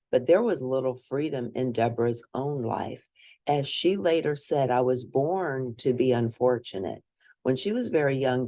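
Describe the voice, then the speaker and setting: A woman reads a picture book aloud in an expressive, teacherly way, speaking to young listeners. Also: a bass voice, no room sound, studio quality. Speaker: children's read-aloud narrator and educator.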